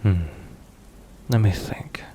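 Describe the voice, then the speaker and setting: A man playing a brooding but tender mafia boss boyfriend in an intimate ASMR roleplay, murmuring haltingly with hushed pauses. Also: close to an everyday voice. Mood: pensive. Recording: rain and thunder behind voice. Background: rain and thunderstorm ambience.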